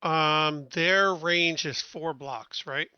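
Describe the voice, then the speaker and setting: A man's voice: fairly high pitch, drawn-out words, pushed and bright, clean tone, dry recording, muffled recording. A man talking casually as a player in a tabletop game, working out moves with the group.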